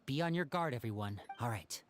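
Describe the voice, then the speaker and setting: Man playing a composed young leader, lively intonation. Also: medium pitch, fairly quick, background noise, lightly acted. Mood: calm, measured. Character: composed, guarded young male leader of siblings. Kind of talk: video game voice acting.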